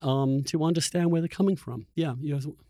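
A man hosting a conversational interview, thinking aloud in a halting, searching way and often repeating words as he goes. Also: on the high side, mellow, dry recording, clean studio sound. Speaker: podcast host interviewer.

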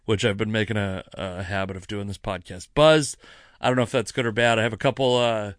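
A man's voice: lowish voice, steady tone, a little fast, dry recording, studio quality. An American man, a comedian and podcast host, rambling casually and self-deprecatingly with some swearing, a little tipsy. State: mildly tipsy after a few drinks. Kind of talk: casual solo podcast monologue.

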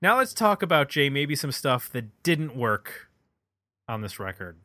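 A man's voice: medium pitch, big pitch swings, a little slow, pushed and bright, dry recording. A man hosting a podcast, casually discussing music in a conversational, opinionated way. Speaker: podcast host.

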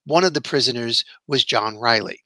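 A man speaks as a podcast host, delivering a scripted monologue in storytelling style. His voice is bright and forward at a medium pitch. The sound is close and dry. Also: normal speed, no echo. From New York City, United States.

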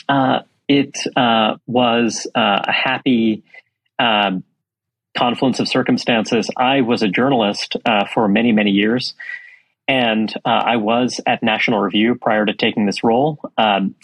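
Guy from brooklyn, kinda husky, lots of uhs and you knows, dry close sound. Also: medium pitch, fairly even intonation, a little slow, fairly bright tone, dry recording, low-quality recording. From Brooklyn, New York. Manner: hesitant, many fillers and restarts. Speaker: think tank president. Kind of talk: conversational interview.

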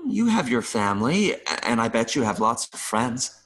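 A man playing an adult male mayfly in an audio play, nervous and earnest, pleading haltingly with little buzzing sounds. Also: mid-range voice, very animated, fairly bright tone. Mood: resigned, earnest. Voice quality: buzzing interjections between phrases.

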